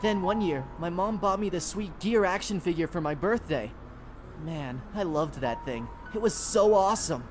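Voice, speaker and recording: Earnest young mecha pilot over music. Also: male voice, high-pitched, expressive, normal speed. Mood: wistful, earnest. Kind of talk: video game voice acting. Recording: music under the voice.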